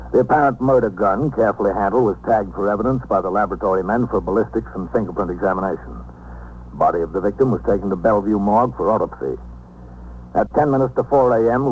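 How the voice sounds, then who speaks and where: An American actor playing a composed police captain in a 1950s radio drama, narrating in a clipped, official, procedural manner. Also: male voice, fairly low pitch, a little mellow, lightly acted. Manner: matter-of-fact, procedural. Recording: noisy old 1950s radio recording.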